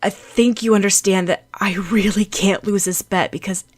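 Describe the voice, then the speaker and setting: A woman's voice: mid-range voice, steady tone, close to an everyday voice. A woman voicing an awkward, proud teenage boy who stammers and gets flustered, in an audiobook story. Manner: stammering, halting.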